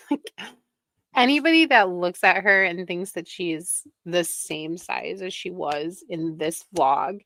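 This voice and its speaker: A woman streams her reaction to a video in a low, slightly gravelly voice. She talks slowly, with wry, critical asides. Her mic sounds close and dry. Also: animated, fairly bright tone, dry recording. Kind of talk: casual reaction commentary.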